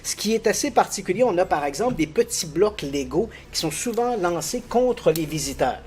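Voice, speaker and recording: A middle-aged man explaining a strange case as a guest, telling it like an animated storyteller who chuckles easily. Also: normal speed, slight background noise. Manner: animated, lively storyteller with frequent pitch jumps. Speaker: guest expert on paranormal cases.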